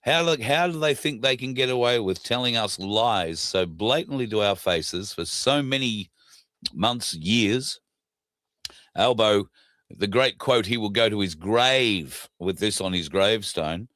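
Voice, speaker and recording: An Australian man with a scratchy, hoarse throat, hosting a casual talk show, plain-spoken and indignant, pausing often with fillers. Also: lowish voice, a bit bright, dry recording. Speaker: show host.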